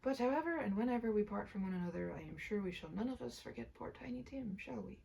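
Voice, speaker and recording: Woman playing a tender, breathy Victorian father. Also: mid-range voice, expressive, fast talker, dark tone, far from the mic. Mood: fond. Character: kindly, tender working-class father in Victorian storybook.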